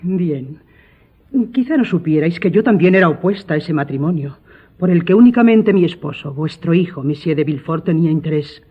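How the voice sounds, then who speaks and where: Husky, formal aristocratic stepmother. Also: low-pitched, lively intonation. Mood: solemn pleading. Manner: formal, pleading, measured. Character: scheming aristocratic stepmother, ingratiating and solemn. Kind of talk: Spanish radio drama acting. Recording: muffled phone-like audio, music behind.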